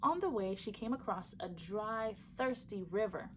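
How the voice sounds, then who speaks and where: A woman reading a folktale aloud for children, lively and shifting her voice for each character. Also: mid-range voice, moderate pace, slight background noise. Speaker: storyteller. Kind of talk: storybook read-aloud for children.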